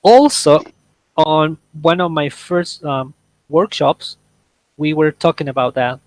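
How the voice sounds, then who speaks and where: A young man whose first language is Spanish leads a relaxed English practice chat over a video call. His voice sits a little high for a man and sounds close and dry, with no room around it. He explains things eagerly and reaches for everyday comparisons. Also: slow pace, no room sound, poor audio. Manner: eager explainer, builds points with everyday examples. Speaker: workshop leader. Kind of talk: casual conversation practice in English.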